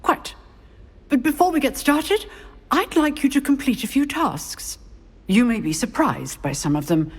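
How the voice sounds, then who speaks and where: Stern, husky female professor. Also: high-pitched, lively intonation, exaggerated voice. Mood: stern, measured. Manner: measured, formal, clipped. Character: stern, knowing female magic school professor. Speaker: professor of magic. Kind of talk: scripted video game acting. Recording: long hall reverb.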